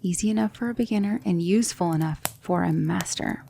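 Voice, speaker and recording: Woman acting as a demon mentor, ASMR roleplay, warm dark tone, vocal fry, measured, instructive, reassuring. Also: fairly low pitch, slight background noise. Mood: confident, instructive. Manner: measured, instructive, reassuring, with hushed breathy dips. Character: gentle female demon mentor, patient, composed, commanding magic teacher. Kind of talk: soft-spoken ASMR roleplay.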